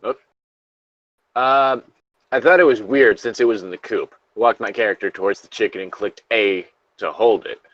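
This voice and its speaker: A man's voice: on the low side, moderate pace, a bit bright, dry recording. Guy reading a creepy story casually w jokey asides, dry kinda muffled mic. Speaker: co-host reading internet horror stories aloud. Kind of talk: casual story read-along with joking asides.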